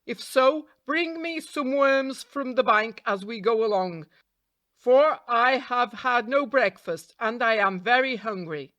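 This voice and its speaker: A woman's voice: fairly high pitch, expressive, slow, a bit bright, no echo. A woman voicing a crafty, bossy raven in a storybook, bargaining in an emphatic manner.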